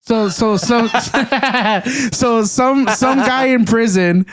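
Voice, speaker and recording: Man, high pitched, smooth clear voice, excitable slangy podcast chatter, young adult. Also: very slow, no echo. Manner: excitable, slangy, says "bro" a lot. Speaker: podcast co-host. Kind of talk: casual chat.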